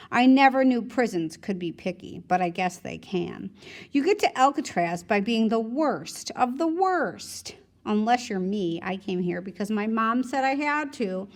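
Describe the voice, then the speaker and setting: Casting: female teacher, slightly high voice, expressive read-aloud storytelling, conversational delivery. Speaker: teacher reading a novel aloud to students.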